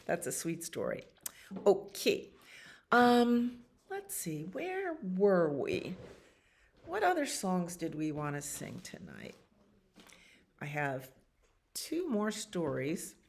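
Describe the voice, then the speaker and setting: A woman with a low voice reads children's bedtime stories at a very slow, unhurried pace. Her pitch moves in lively swings as she tells the tale, and she drops into casual asides about the pictures. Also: creaky. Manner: expressive reading with acted animal sounds and pauses to comment on pictures. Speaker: children's storytime reader at a library.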